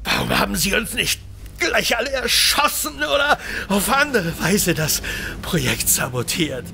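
Man acting a shaken scientist, gravelly and halting. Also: high voice, big pitch swings, bright, forceful tone, a little hiss or hum, full character voice. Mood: distressed. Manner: halting, stunned, breathy disbelief. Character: shaken, horrified male scientist confronting a killer. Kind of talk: audio drama acting.

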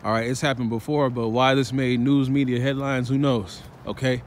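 A man's voice: medium pitch, steady tone, moderate pace. Guy walking and preaching into his phone outside, lots of "all right? man", street noise faint behind. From Chicago, United States. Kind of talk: walking vlog commentary. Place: city sidewalk outdoors, walking. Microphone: phone held while walking. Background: faint traffic and street ambience.

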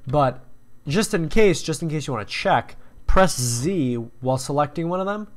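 A man's voice: a little high, animated, normal speed. Casual young man explaining a game. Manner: casual, explanatory, with fillers and restarts. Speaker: game tutorial host. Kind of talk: screen-recorded game tutorial.

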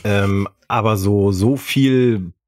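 Man, fairly low pitch, dry recording, tentative, casual podcast talk. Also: slow, a little mellow, no room sound. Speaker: podcast host.

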